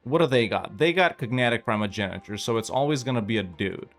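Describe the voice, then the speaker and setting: A young man giving casual gameplay commentary, relaxed and chatty, thinking aloud with many fillers. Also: mid-range voice, fast talker, soft background music. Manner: lively and upbeat when asking viewers to like and subscribe. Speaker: gaming YouTuber.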